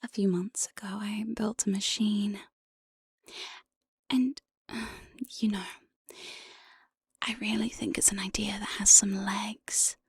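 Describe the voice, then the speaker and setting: A woman playing an evil, playful demon jailer in an ASMR roleplay, breathy and often whispering, with a sly, mock-sweet menace, close on the microphone. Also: medium pitch, little pitch movement, slow, long pauses, no echo. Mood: coaxing, sly. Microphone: close binaural studio microphone, dry.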